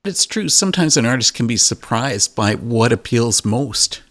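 A man's voice: medium pitch, lively intonation, normal speed, fairly bright tone, dry recording, clean studio sound. A man hosting an interview, asking brief questions and giving encouraging replies. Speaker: interview host.

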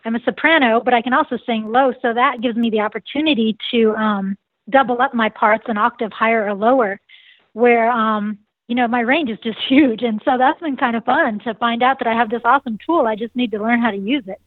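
A woman's voice: on the high side, fairly quick, no room sound. A woman in her forties with a nasal voice, a singer and saxophonist answering interview questions in a bubbly way and laughing often. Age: 40s. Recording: dry, tinny phone line.

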